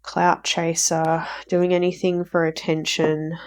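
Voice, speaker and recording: Croaky woman reading tarot cards. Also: sits low, level tone, slow pace, darkish tone. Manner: rambling, repeats herself with fillers. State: croaky from recovering flu. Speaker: tarot reader. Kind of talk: casual tarot reading to viewers.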